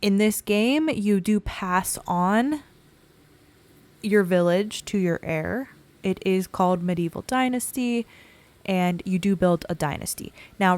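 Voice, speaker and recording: A woman hosting a relaxed gameplay video, her voice scratchy and croaky from a cold, chatting in a laid-back, self-deprecating way. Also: mid-range voice. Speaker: gaming channel host. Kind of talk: casual gameplay commentary.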